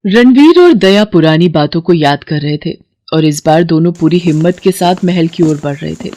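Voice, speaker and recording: A woman's voice: lowish voice, lively intonation, normal speed, mellow. A woman narrator reading a scripted story in a dramatic storytelling style.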